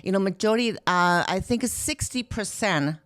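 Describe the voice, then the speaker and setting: A woman's voice: mid-range voice, lively intonation, moderate pace, a bit bright, no room sound. A woman giving a conference presentation as a marketing director, chatty with many fillers and restarts. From Taiwan. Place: conference room.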